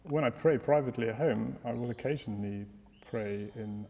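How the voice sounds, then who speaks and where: A quick, gravelly male voice with a mellow, dark tone talks through his thoughts with frequent restarts. He is an English priest in middle age, speaking in a reverberant hall. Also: medium pitch. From England. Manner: thoughtful, reflective, hesitant restarts. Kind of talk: casual panel discussion. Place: church hall.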